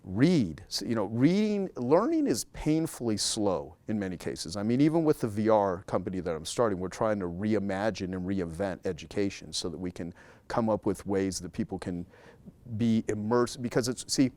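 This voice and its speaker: Emphatic man with a low, dry voice. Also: expressive, moderate pace, a little mellow, no room sound. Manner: emphatic, repeats key words for stress. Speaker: interview guest. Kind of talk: casual conversational interview.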